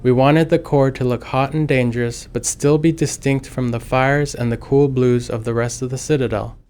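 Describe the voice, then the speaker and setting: A man's voice: mid-range voice, steady tone, normal speed, darkish tone, clean tone. A man speaking as a game developer, narrating commentary.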